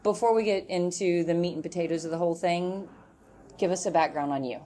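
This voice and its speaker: A woman's voice: lowish voice, a little fast, warm tone, slight background noise. A woman hosting a podcast, chatting with enthusiasm and energy. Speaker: podcast host. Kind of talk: upbeat podcast chat.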